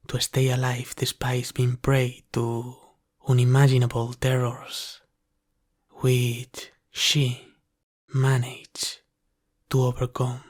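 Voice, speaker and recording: A man playing a devoted, formal half-dragon butler in an ASMR roleplay, speaking deferentially and caringly to the one he serves. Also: mid-range voice.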